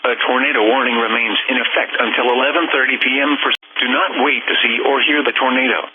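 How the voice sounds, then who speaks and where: A synthetic man's voice reading automated emergency weather alerts in a formal, measured, impersonal way. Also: medium pitch, steady tone. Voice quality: synthetic text-to-speech voice. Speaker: weather radio announcer. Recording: tinny noisy weather radio broadcast.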